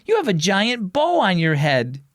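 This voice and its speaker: A man's voice: high-pitched, huge pitch swings, unhurried, no echo, studio quality, pitched above the performer's own voice, exaggerated voice. Young storybook boy , acted by a man: playful, blunt, lifted voice with varied intonation.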